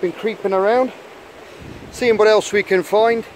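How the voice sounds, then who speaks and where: A higher-voiced, middle-aged man chats enthusiastically while showing small sea creatures at a rock pool. He talks casually into a hand-held camera outdoors. Faint wind and water noise sit behind his voice. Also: steady tone, measured pace, low-quality recording. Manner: chatty and enthusiastic, quietly talking while handling creatures. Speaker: channel host. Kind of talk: casual nature vlog narration. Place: rocky beach rock pools outdoors. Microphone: camera held in hand at the shore.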